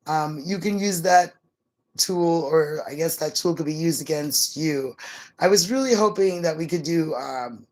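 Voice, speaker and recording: A middle-aged woman chatting casually as a livestream co-host, in an easygoing, slightly halting, musing way. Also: low voice, darkish tone. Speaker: live stream co-host.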